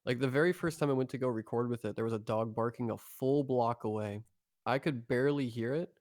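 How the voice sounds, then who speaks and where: A man's voice: mid-range voice, a little fast, darkish tone, no echo, studio quality. A man co-hosting a podcast, chatting eagerly about recording gear. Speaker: podcast co-host, narrator. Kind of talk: casual podcast chat.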